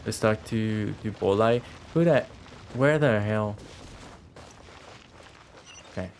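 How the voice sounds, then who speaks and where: A man's voice: on the low side, slow pace, a little hiss or hum. A man giving casual, sarcastic play-along game commentary, swearing freely. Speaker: game streamer. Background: video game music.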